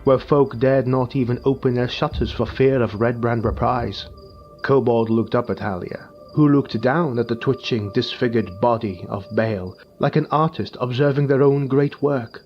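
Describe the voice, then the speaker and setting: A man narrating a dark fantasy audiobook in a slow, hushed, grave manner. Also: medium pitch. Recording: muffled with faint music.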